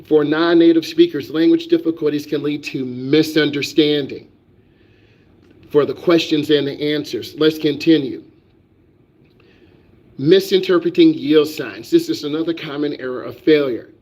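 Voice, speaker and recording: A man's voice: on the high side, steady tone, normal speed, a little mellow. A man who is a driving instructor, lecturing on a live stream with emphatic, repetitive, preachy delivery. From United States.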